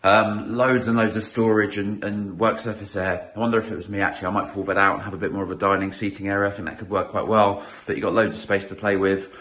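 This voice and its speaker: Croaky estate agent, lower-pitched, upbeat and conversational, many fillers. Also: male voice, little pitch movement, quick pace, hardly any pauses, a bit bright. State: slightly croaky voice. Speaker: estate agent giving a property tour. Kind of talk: casual walk-through property tour. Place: inside a small house, walking room to room.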